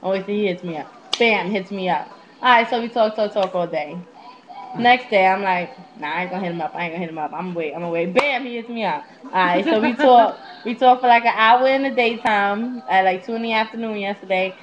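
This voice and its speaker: A woman's voice: mid-range voice, fast. Candid young woman on a dull webcam mic. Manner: candid, slangy, profane. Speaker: vlogger. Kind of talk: casual confessional storytelling to webcam. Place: small indoor room at home. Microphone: netbook's built-in webcam mic.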